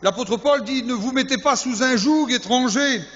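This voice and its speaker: High-voiced preacher in an echoey hall. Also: male voice, moderate pace, fairly bright tone. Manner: humorous, teasing, full of rhetorical questions; repeats key words for emphasis. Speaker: youth pastor. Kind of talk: sermon to young people. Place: church hall. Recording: echoey hall, dull lo-fi sound.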